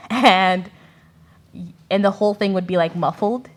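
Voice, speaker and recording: A woman's voice: medium pitch, unhurried. A young woman, a student performer, chatting casually in an interview after a show. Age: young adult. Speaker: student radio theater performer.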